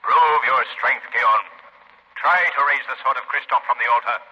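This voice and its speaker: Casting: man to play taunting unseen avenger, mid-pitched husky voice, deliberate authority, echoing old radio drama sound. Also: lightly acted. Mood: taunting command. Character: mysterious, commanding invisible crime fighter, a calm, taunting man. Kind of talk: 1930s radio acting. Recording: muffled 1930s radio broadcast, long reverb.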